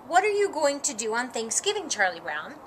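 A woman's voice: sits high, big pitch swings, a little fast, slight background noise. A woman voicing an earnest, helpful, scholarly little boy in a storybook, explaining things. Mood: curious. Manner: explaining, encouraging.

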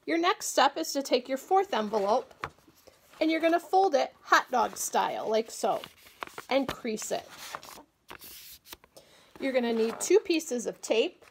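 A woman with a fairly high, light voice walks through a table-top craft one step at a time, clear and patient. She is recorded in a small indoor room with faint hiss behind her. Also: lively intonation, measured pace, pauses often. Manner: clear, patient instructional delivery. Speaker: agriculture educator.

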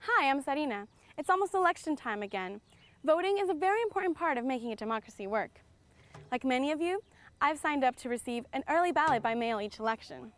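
A woman's voice: high voice, lively intonation, quick pace, slight background noise. A woman reading a scripted public service announcement in a friendly, instructive way.

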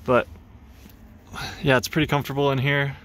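A man in his thirties talking casually to camera as a vlogger, in a low-key, halting, matter-of-fact way. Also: flat delivery, fairly bright tone. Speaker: vlogger, host. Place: inside a truck, parked or in traffic. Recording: vehicle noise behind phone-recorded speech.

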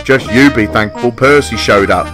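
A man's voice: mid-range voice, moderate pace, over music, lightly acted. A man voicing a small, upbeat, practical tank engine who talks in a chipper, matter-of-fact way in a children's story. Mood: retorting.

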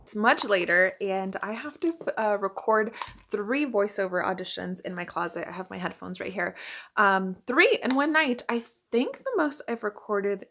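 A woman's voice: medium pitch, expressive, normal speed, a bit bright. Young woman chatting casually. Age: young adult. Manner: chatty, informal. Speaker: vlogger and working actor. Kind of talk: casual first-person vlog to camera.

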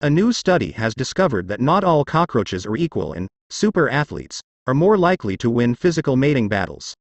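Male reader for scripted informational passages; animated pitch movement, dry recording. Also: fairly quick, no echo, highs cut off. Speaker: reader of practice test passages. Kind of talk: scripted read-aloud of informational text.

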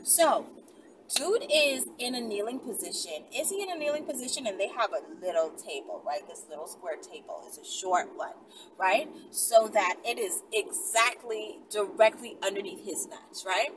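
A young adult woman chatting casually to her viewers like a vlogger, animated and conversational, often checking in with short tags. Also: mid-range voice, moderate pace. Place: inside a car in daylight. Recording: distant mic, noisy car interior.

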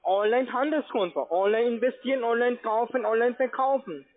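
Call center guy w foreign accent, formal scripted sales pitch, very high voice, thin phone sound. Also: fairly quick. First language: not German. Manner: formal, repetitive. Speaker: call center trading agent. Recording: tinny, band-limited phone line.

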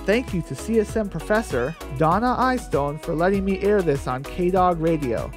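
A man's voice: high-pitched, animated, moderate pace, music in the background. A man narrating a scripted radio play as its storyteller.

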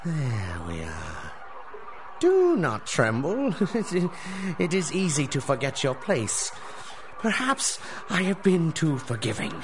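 An actor playing a menacing, mock-merciful lord of the rats in a radio drama, pompous and taunting. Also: male voice, on the high side, wildly swooping pitch, noisy background, full character voice. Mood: patronizing menace. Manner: pompous, taunting, drawn-out questioning. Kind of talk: 1930s-style radio drama acting.

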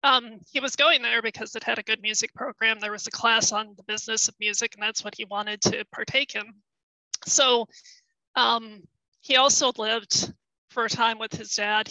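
Woman historian with a bright, dry voice. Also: on the high side, dry recording, low-quality recording. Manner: explanatory, careful, with frequent ums and self-corrections. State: losing her voice. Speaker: historian presenting research. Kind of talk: webinar presentation.

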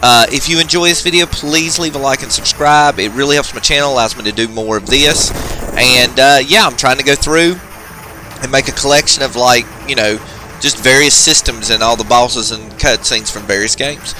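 A man's voice: mid-range voice, normal speed, bright, forceful tone, a little hiss or hum, rough recording. A man hosting a video game channel, giving informal, chatty gameplay commentary with some slang. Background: arcade game music.

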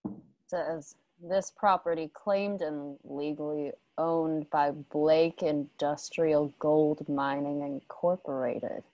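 Woman acting grieving widow, western radio play, dark low tone, unhurried, understated, dry close sound. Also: fairly even intonation, no echo, poor audio. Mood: flat, matter-of-fact. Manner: halting, understated, terse. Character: grieving, guarded widow in a western, quietly resolute. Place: home, on a group video call.

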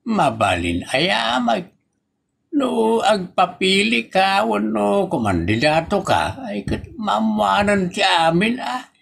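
Man acting creaky, animated Ilocano comic father. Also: high-pitched, takes it slowly, full character voice. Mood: amused, teasing. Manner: stammering, repeated interjections and exclamations. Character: older Filipino village father, teasing and exasperated comic. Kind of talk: Ilocano comedy audio drama acting.

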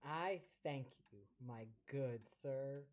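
A woman playing a vengeful but dutiful devil in an amateur audio drama, with measured theatrical speech. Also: very deep voice, a little mellow. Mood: scheming. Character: devil, vengeful yet anxious about its duty.

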